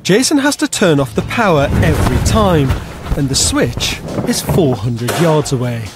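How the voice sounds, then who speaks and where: A man's voice: on the high side, sing-song, normal speed. A man narrating a television documentary as its presenter, reading from a script. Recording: music behind the voice.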